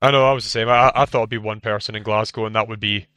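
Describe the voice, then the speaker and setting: A man's voice: fairly low pitch, fairly even intonation, very fast, bright, forceful tone, clean tone, dry recording. A man co-hosting a casual gaming podcast, playful and full of joking banter. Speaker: podcast co-host. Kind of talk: casual chat.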